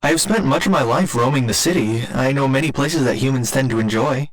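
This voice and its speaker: Man acting as gentle, formal robot boyfriend. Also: mid-range voice, natural voice, low-quality recording. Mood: fond, polite. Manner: formal, measured, precise wording. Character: gentle, earnest robot boyfriend learning about love. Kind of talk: ASMR roleplay, scripted intimate monologue.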